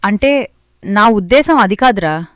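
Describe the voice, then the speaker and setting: A woman's voice: mid-range voice, expressive, measured pace, dry recording, clean studio sound, natural voice. A woman voicing a deceitful mother-in-law who feigns innocence in a cartoon story, defensive and insinuating. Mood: feigned innocence.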